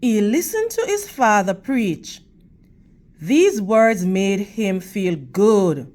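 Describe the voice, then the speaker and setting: A woman reading a picture book aloud to children in a teacherly manner, with deliberate, emphatic phrasing and questions for her young listeners. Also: mid-range voice, big pitch swings, a little mellow. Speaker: read-aloud storytime host for children.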